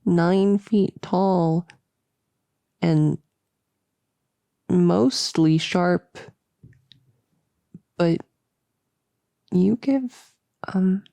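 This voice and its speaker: A very mellow, slightly low female voice, close and very dry, acted by a woman as a nervous cultist in an intimate audio roleplay. She is lonely and gentle under a bossy front, halting and stammering as she rambles softly, with breathy edges. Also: no echo, exaggerated voice. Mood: tender, shy. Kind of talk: intimate roleplay audio drama, sleep-aid ASMR. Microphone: close, dry microphone.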